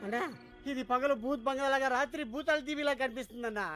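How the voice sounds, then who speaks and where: An actor playing an anxious, whining sidekick who nags and pleads in a film comedy. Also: male voice, very high-pitched, fast, a bit bright, soft background music. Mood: nervous, pleading. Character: anxious, nagging sidekick, eager to flee.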